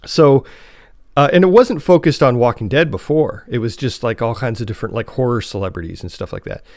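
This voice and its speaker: A man's voice: mid-range voice, fairly quick, gravelly, darkish tone, no room sound, clean studio sound. A man co-hosting a podcast, chatting informally and enthusiastically like a fan. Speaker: podcast co-host. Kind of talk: casual chat about TV shows.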